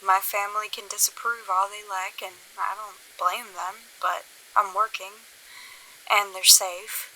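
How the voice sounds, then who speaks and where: A woman playing a weary, pragmatic confidante in an audio drama, speaking frankly and with measured pauses. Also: medium pitch, flat delivery, sharp tone, low-quality recording. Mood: resigned, frank. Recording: faint hiss.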